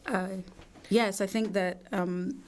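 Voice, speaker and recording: A woman's voice: medium pitch, steady tone. Reflective woman. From England. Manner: reflective, measured, with thoughtful pauses. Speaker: artist and poet guest. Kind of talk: interview. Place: studio.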